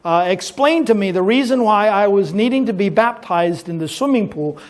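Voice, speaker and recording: A pastor in his fifties, raised in Taiwan, giving a relaxed talk to young people at a church, telling stories with light jokes and many pauses. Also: high voice. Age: 50s. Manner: relaxed storytelling with gentle jokes and frequent "uh" pauses. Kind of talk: informal talk to a youth audience at a church event.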